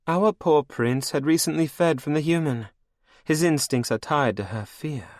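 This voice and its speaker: A man's voice: on the high side, normal speed, dry recording, studio quality, voice pushed up, natural voice. A man voicing a cruel, regal female vampire queen who speaks sweetly and mockingly. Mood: mocking command.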